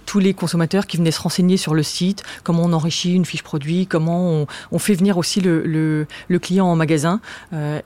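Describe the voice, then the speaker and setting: A woman from France, a marketing director, answering interview questions in an agency office with halting, hesitant phrasing. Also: on the low side, darkish tone, no echo.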